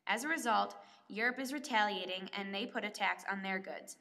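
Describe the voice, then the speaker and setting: A woman's voice: medium pitch, fairly quick, creaky, pushed and bright. A woman playing a 1930s radio newswoman, reading scripted reports in a period broadcast. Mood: earnest.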